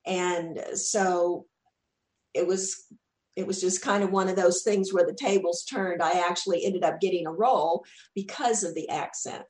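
A chatty woman from Texas with a Southern drawl, talking about her acting work in an easygoing interview. Her voice sits on the lower side, and the room around her rings with echo. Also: fairly even intonation, unhurried. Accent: Southern US, Texan. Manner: chatty, warm, repeats words when starting. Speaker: actress, interview guest. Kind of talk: casual interview chat.

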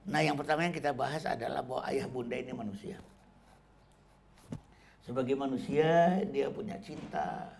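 A middle-aged man giving a relaxed, conversational talk on parenting, often tagging sentences with casual fillers. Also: mid-range voice, moderate pace, creaky, pauses often, slight background noise. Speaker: parenting speaker.

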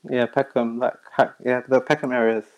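A man in his thirties with a London accent, a podcast guest chatting casually, soft-spoken and hesitant with many pauses. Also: mid-range voice, little pitch movement. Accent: London English. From South London, England. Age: 30s. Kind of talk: casual chat.

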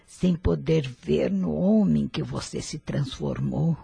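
An actress playing a frail, gentle elderly mother in a radio drama, speaking slowly and tenderly. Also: low voice, gravelly, slightly rough, no echo, exaggerated voice. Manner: slow, tender, tearful speech.

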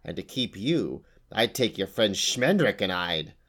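A man's voice: low-pitched, sing-song, unhurried, gravelly, heavily acted. Man putting on a gloating old witch. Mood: savage. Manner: sneering, snarling at times. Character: old scheming female witch, boastful and fierce.

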